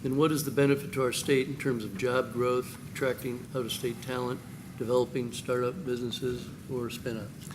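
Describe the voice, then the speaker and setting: A male moderator reading questions to a panel in a formal, steady way, from a distant mic with noticeable room noise. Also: mid-range voice, normal speed.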